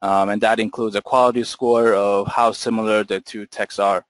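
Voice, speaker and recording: A man giving an explanatory software webinar presentation over slides, in a measured, businesslike manner as the product presenter. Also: lowish voice, flat delivery, dry recording.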